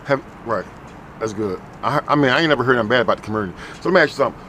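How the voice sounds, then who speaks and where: A man's voice: mid-range voice, animated, racing, sharp tone, a little hiss or hum. A man running a casual street interview, repeating answers back and stammering through restarts. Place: city street outdoors.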